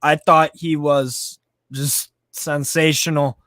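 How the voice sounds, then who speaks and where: A man in his twenties talks up football matches with punchy enthusiasm as a podcast co-host. His voice is a touch high, and the recording is dry and close. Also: little pitch movement, takes it slowly, fairly bright tone, no room sound. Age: 20s. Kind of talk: casual chat.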